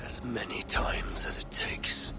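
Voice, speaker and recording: An actor playing a proud, stubborn old Norse god-king in a video game, gravelly and theatrical in his grand declaiming. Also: slow pace, in a whisper, loud music behind the voice, natural voice. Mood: weary, stubborn. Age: older. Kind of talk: dramatic game cutscene acting.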